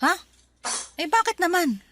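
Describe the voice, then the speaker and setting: An actress playing a caring, hardworking single mother in a radio drama, speaking tenderly and insisting gently. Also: very high-pitched, very animated, unhurried, soft background music, heavily acted.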